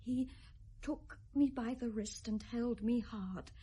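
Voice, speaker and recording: A woman's voice: on the high side, takes it slowly, dark tone, breathy edge. An actress playing a frightened, obedient young noblewoman with a British accent and tremulous delivery in a classical radio drama. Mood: distressed, urgent. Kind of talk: 1970s classical Shakespearean radio drama acting.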